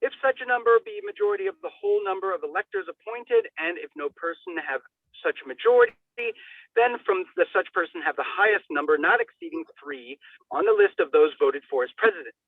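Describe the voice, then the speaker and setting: A man's voice: high voice, sing-song, a little fast, no room sound. A man giving a dramatic, declamatory reading of a formal legal document, stumbling now and then. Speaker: reader. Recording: thin phone-like radio sound.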